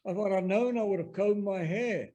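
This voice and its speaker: A man's voice: sits high, moderate pace, warm tone. An older man with a South African accent, giving a chatty, anecdotal talk on a video call. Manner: chatty, anecdotal, self-deprecating. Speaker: presenter.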